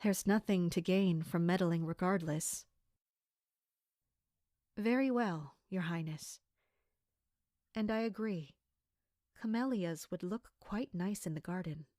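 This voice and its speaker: A woman's voice: on the low side, takes it slowly, pauses often, dark tone, dry recording, studio quality. A woman playing a polite, observant royal maid in an audio drama, speaking articulately. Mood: measured, guarded. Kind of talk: audio drama acting with inner-monologue narration.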